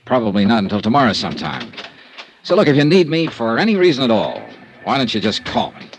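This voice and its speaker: An actor playing an affable, protective investigator in a 1950s radio detective drama, talking in an easygoing, conversational way. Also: male voice, mid-range voice, sing-song, quick pace, a little hiss or hum. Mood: friendly, solicitous. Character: affable, wisecracking freelance insurance investigator, protective of strangers. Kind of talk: 1950s radio detective drama acting with first-person narration.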